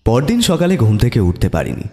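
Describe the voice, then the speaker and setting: A man playing a detective's curious, eager companion who also narrates the audio mystery, with a husky voice. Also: medium pitch, huge pitch swings, a little fast, dark tone. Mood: storytelling. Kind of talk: audio story narration and dramatic dialogue. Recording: music behind the voice.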